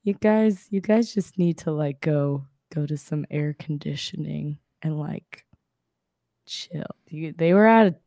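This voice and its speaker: A young woman co-hosting a podcast, chatting casually and acting out the people in her story, with a slightly raspy voice. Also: low-pitched, slow pace, no echo, studio quality. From Southern California. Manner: chatty, animated retelling with quoted voices and laughs. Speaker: podcast co-host.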